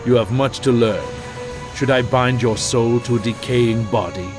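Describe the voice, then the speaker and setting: An actor playing a stern, pious necromancer lord in a fantasy game, with pompous, measured, archaic speech. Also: male voice, medium pitch, close to an everyday voice. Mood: stern, scornful. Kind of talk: dramatic fantasy game dialogue. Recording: loud music under the voice.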